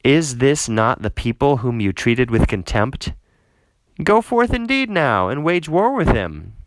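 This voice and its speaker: A man's voice: medium pitch, big pitch swings, a little slow, no room sound, voice pushed up, rough recording. Man reading as a commanding, scornful city ruler. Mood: taunting. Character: scheming, scornful male city ruler giving counsel.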